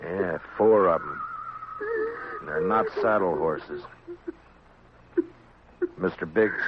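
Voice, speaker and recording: A man acting as a steady, authoritative frontier marshal in a 1950s radio western, measured and terse. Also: deep voice, a crowd in the background. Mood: firm, calm. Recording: muffled, noisy old radio recording.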